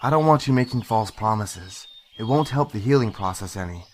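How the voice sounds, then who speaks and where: A man's voice: lowish voice, animated, brisk, a little mellow, close to an everyday voice. A man playing a sober, restrained police detective in an amateur radio drama, speaking matter-of-factly. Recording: clear background noise.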